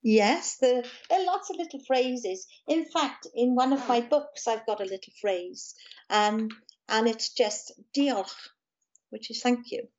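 Gentle woman with a South Welsh accent. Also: on the high side, measured pace, lo-fi sound. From South Wales. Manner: gentle, calm, chatty with small laughs. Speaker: guest, musician and author. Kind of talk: casual interview chat.

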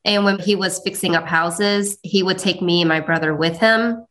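A woman's voice: lowish voice, steady tone, moderate pace, fairly bright tone. A young woman whose first language is Cantonese, answering interview questions modestly and laughing easily. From China. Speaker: interview guest.

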